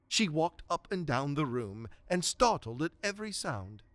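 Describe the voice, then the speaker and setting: A man's voice: on the high side, big pitch swings, moderate pace, fairly bright tone, no room sound. A man reading dramatic scripted narration for a radio-style story.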